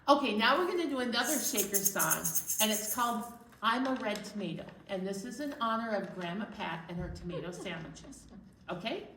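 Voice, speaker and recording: A woman hosting a storytime for young children, talking in an exaggerated, animated way as if to little listeners. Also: mid-range voice, brisk, faint music behind. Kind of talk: read-aloud storytime presentation with songs. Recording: roomy echo, distant mic, faint noise.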